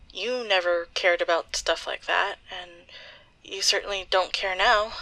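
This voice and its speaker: A woman playing a grieving, bitter young woman in a halting, intimate audio drama monologue. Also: medium pitch, sharp tone, dry recording. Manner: halting, hesitant, slow. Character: grieving young woman, bitter, leaving voicemail for lost close friend.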